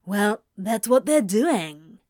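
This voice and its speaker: A woman's voice: mid-range voice, very animated, slow, a little mellow, no echo, clean studio sound. A woman voicing a casual, teasing male demon who coaxes and tempts, in a story reading.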